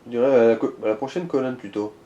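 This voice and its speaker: A man's voice: mid-range voice, fast, mellow, echoey room, highs cut off. A man giving casual gaming advice in a slangy, sometimes crude and hesitant way. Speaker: co-commentator advising the player. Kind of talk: casual gaming chat with tips.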